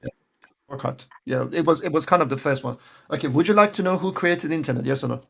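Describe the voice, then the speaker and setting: Wry, halting man with a gravelly voice. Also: fairly high pitch, a bit bright. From Iran. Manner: halting, repeats words, wry. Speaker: debate participant. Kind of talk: online debate chat.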